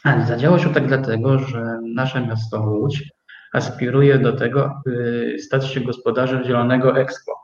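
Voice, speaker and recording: Recorded in a reverberant room with slightly dull sound, a man speaks as an interview guest. His voice is mid-pitched, and he tells things conversationally, halting and rephrasing as he goes. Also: little pitch movement, a little mellow. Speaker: interview guest, business co-owner. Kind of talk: conversational storytelling.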